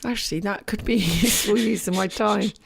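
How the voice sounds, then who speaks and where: A woman co-hosting a casual podcast, her voice gravelly and a little croaky, cheerful and quick to laugh. The sound is dry and close, with no room echo. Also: medium pitch. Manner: upbeat, laughing often while telling stories. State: slightly croaky voice. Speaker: podcast co-host. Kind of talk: casual chat.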